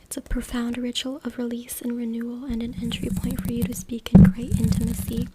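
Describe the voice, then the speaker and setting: A woman whispering breathily and softly in an ASMR style as she reads aloud, close to a USB microphone. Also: fairly high pitch, completely flat delivery, a little fast. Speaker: ASMR content creator. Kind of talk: ASMR whispered reading from a book. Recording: very noisy close USB mic, clicks. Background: loud noise, tapping and scratching clicks.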